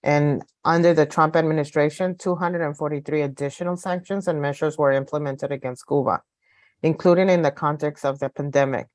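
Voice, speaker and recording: Woman giving a measured activist speech, dry sound. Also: deep voice, steady tone, darkish tone, no room sound. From Los Angeles, United States. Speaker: activist guest speaker. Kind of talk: prepared political speech.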